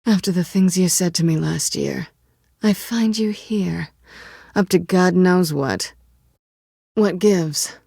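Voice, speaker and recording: Sardonic female thief, video game dialogue, slightly low pitch, breathy husky voice, flirtatious, understated, dry studio sound. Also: slow, warm tone, no room sound, exaggerated voice. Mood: guarded, matter-of-fact.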